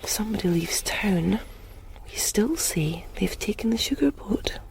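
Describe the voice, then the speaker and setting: A woman's voice: mid-range voice, expressive, a little slow, creaky, in a whisper, rough recording. An actress playing a teasing young woman student from Greenock, with a West of Scotland accent. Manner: teasing, soft and hushed.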